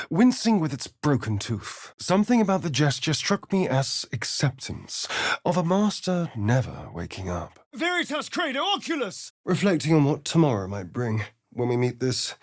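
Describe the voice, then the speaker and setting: Casting: foppish vampire spawn rogue, game companion. Disdainful theatrical drawl, wide expressive pitch swings, dry studio sound. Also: male voice, gravelly, no room sound, heavily acted. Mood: commanding, incanting. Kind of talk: video game voice acting, companion dialogue and narration.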